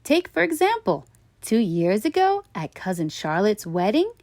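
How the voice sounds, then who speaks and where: A woman storyteller narrating a children's picture book in an expressive, deliberate way. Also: medium pitch, dry recording, studio quality. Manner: animated, deliberate. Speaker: storyteller, host of a children's read-aloud show.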